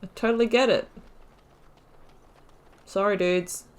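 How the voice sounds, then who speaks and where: A woman's voice: mid-range voice, fairly even intonation, takes it slowly, slight background noise. A woman hosting a game playthrough with casual, chatty commentary and playful asides. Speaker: gaming video host.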